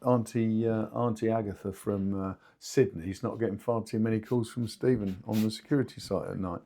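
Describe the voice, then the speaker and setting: A man with a fairly low voice speaks as an expert co-host in a casual business discussion. He phrases things informally and haltingly, as unscripted conversation. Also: fairly even intonation, gravelly, dark tone. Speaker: podcast co-host and two-way radio expert.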